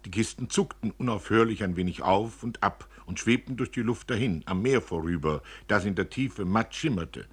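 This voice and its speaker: A man giving an expressive reading of literary prose, as an author reading from his own novel. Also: fairly low pitch, moderate pace, hardly any pauses, breathy edge.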